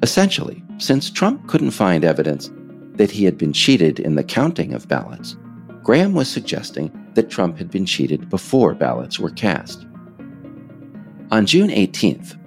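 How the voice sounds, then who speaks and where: An American man reading scripted political narration in a measured, emphatic, explanatory way. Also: low voice, huge pitch swings, gravelly, faint music behind. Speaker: author narrating his audiobook episode.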